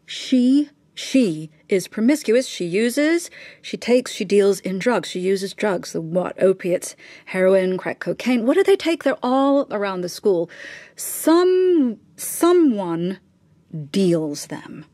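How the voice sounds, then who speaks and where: A woman's voice: mid-range voice, very animated, slow pace, dark tone, dry recording. An actress playing a bitter, imperious, bigoted upper-class mother in a radio drama, clipped, cutting and sarcastic, with insistent questioning. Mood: insistent, agitated. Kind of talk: naturalistic radio drama acting.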